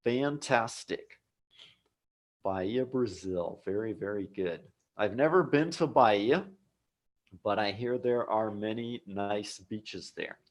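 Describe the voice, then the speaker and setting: Slow, patient American man teaching. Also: lowish voice. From United States. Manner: slow, patient, repeats key words for learners. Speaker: English teacher. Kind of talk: live-streamed English lesson. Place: home office abroad.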